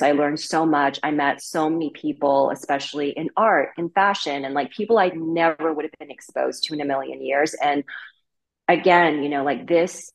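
A woman in her thirties with a Canadian accent tells her story as an interview guest. Her voice sits low, and she talks in a loose, candid way, leaning on fillers like "like" and "you know.". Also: vocal fry, rough recording. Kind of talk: casual conversational storytelling.

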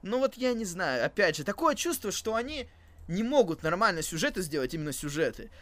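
A man's voice: sits high, big pitch swings, brisk, fairly bright tone, no echo. A man co-hosting a podcast in casual chat, thinking aloud with hesitations and repeated phrases. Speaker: co-host of a wrestling podcast.

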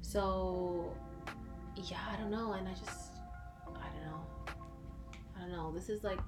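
A woman in her twenties co-hosting a casual chat between friends, talking in a slangy, animated, self-mocking way. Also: on the low side, a little slow, over loud music. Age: 20s.

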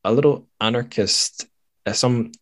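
A man's voice: medium pitch, unhurried. A man hosting a podcast, chatting casually with wry, self-deprecating, rambling asides. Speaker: podcast host. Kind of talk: casual podcast chat and outro.

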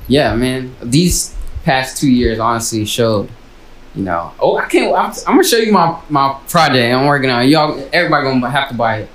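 A man's voice: mid-range voice, animated, normal speed, a bit bright, reverberant, poor audio. A man hosting a podcast in laid-back, slangy casual chat. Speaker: podcast host.

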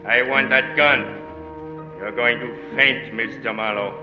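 An actor playing a sinister doctor, speaking in a formal, clipped, coldly polite way, in 1940s radio acting. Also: male voice, medium pitch, fairly even intonation, unhurried, over music, close to an everyday voice. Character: smooth, sinister middle-aged male doctor. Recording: muffled 1940s radio broadcast in a roomy echo.

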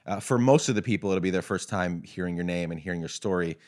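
A man hosting a casual interview in an apartment, chatty and upbeat with quick asides. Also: deep voice, darkish tone. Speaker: podcast host. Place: apartment in Nashville.

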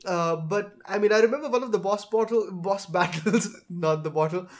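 A man's voice: high-pitched, moderate pace. A man hosting a casual chat, with a hesitant, circling way of putting things. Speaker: podcast host. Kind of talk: casual chat about films and games.

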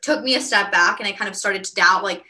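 A young woman from Venezuela co-hosting a podcast, with a bright, forceful voice. She tells her own story in a loose, chatty way and leans on "like" again and again. Her pitch sits in an ordinary middle range for a woman. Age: young adult. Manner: fast, filler-heavy, animated with frequent "like". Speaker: podcast co-host. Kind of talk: casual personal storytelling.

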